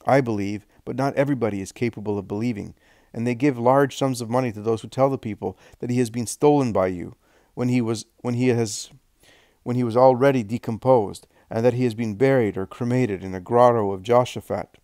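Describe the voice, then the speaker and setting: A man's voice: mid-range voice, fairly quick, a little mellow, dry recording, studio quality, close to an everyday voice. A man reading aloud, voicing a consoling older man who speaks earnestly and reassuringly.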